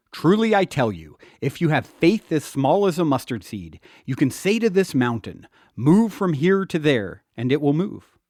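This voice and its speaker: A man reading his own self-help audiobook in an earnest, deliberate, instructional way. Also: on the high side, no echo, clean studio sound.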